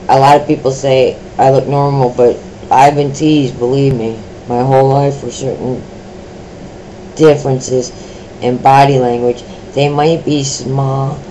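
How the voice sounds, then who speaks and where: A middle-aged woman with a deep voice, sharing her own experiences in a personal vlog, speaking slowly with many pauses, ums and restarts. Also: warm tone, slight background noise, poor audio. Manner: slow, halting talk with many fillers and restarts.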